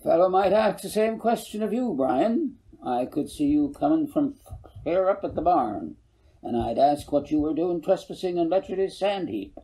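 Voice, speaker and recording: A man's voice: fairly high pitch, lively intonation, moderate pace, mellow, voice pushed up, poor audio. A man voicing a folksy, good-humored older farmer who rambles and corrects himself, in a storybook reading. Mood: folksy, rambling. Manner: rambling, homespun, self-correcting. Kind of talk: audiobook character voice.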